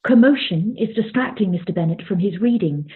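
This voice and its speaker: A woman narrates a radio play from a script. Her voice is mid-pitched and husky, and the recording is somewhat dull and lo-fi. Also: animated, fairly quick. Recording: dull, lo-fi sound.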